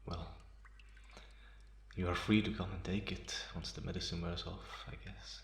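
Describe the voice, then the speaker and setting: A man playing a calm, teasing half-vampire in an ASMR roleplay, pausing often and sounding softly amused and restrained. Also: deep voice, gravelly. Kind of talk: slow ASMR roleplay monologue. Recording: roomy echo, faint background noise.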